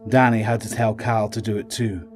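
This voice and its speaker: A man's voice: fairly low pitch, fairly even intonation, moderate pace, soft background music. A man reading scripted narration for a horror audio drama.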